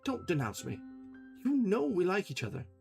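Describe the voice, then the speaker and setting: A reader voicing a gentle young woman who calmly comforts her upset friend in a soft voice. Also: medium pitch, normal speed, vocal fry, soft background music, voice pushed up. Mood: soothing. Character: calm, affectionate young woman in love.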